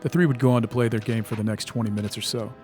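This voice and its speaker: A man's voice: medium pitch, fairly even intonation, very fast, darkish tone, faint music behind, natural voice. A man playing a detached storyteller, reading scripted narration for an audio drama.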